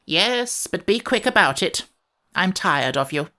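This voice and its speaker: A woman's voice: on the low side, lively intonation, measured pace, pushed and bright, heavily acted. A woman voicing a grumpy, ancient and fussy sand fairy creature for a children's audiobook, in a gloomy, peevish storyteller's way. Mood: impatient.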